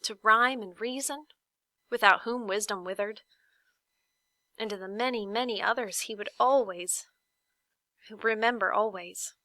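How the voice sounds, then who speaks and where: A woman reads a children's story aloud like a teacher to a class, with expressive, deliberate phrasing and dramatic pauses. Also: medium pitch, no room sound.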